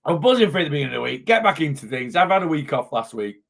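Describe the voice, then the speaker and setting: A man, a weight loss coach chatting casually in a vlog, a little hoarse from losing his voice and rambling as he goes. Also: a little high, sing-song, rapid-fire, a bit bright. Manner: chatty, rambling, self-interrupting.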